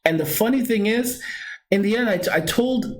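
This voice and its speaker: A man with a somewhat high voice tells a personal story directly to his viewers. He is earnest and emphatic, circling back to drive points home, with many restarts and self-corrections. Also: expressive, normal speed. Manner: earnest, emphatic, repeats himself, talks straight to viewers. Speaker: vlogger.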